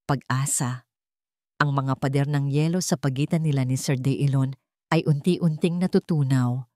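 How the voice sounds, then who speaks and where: A woman's voice: rumbling low, normal speed, a little mellow, no room sound, clean studio sound. A woman narrator reading a dramatic scripted love story. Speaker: narrator of Tagalog love stories.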